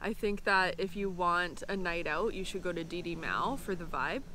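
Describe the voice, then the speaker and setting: Young female co-host, lowish voice, casual review, faint outdoor noise. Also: moderate pace, pushed and bright, low-quality recording. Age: young adult. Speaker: food vlog co-host. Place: outdoor park in autumn. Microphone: camera at about arm's length.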